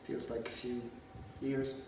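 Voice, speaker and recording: A man playing a weary, sarcastic housemate giving a hesitant, deadpan interview in a mock reality show sketch. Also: mid-range voice, slow. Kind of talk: comedy mockumentary sketch. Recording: very echoey, distant, noisy room.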